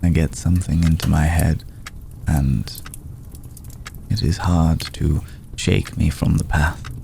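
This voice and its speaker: Man acting as a very deep, slow ancient vampire. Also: steady tone, slight background noise, exaggerated voice. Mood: grave, brooding. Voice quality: deep, gravelly, soft. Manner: formal, measured, deliberate, with long pauses. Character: ancient aristocratic male vampire, courteous, brooding, protective. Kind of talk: ASMR roleplay, slow intimate acting.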